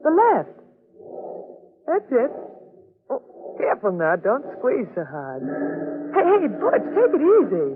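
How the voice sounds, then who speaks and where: A spirited, fond young-at-heart wife in a vintage radio play. She is playful and coaxing, with a lively, swooping delivery on a narrow, tinny recording. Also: high voice, slow pace, pauses often, full character voice. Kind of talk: old-time radio drama acting.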